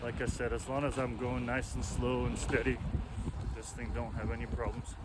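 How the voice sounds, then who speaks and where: A man in his forties from Russia with a Russian accent, chatting in a relaxed, meandering way while riding outdoors on snowy roads. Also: mid-range voice, normal speed. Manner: relaxed, meandering, self-correcting talk. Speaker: vlogger. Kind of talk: casual rambling chat while riding. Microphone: action camera mic. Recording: loud wind noise outdoors. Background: wind and road noise.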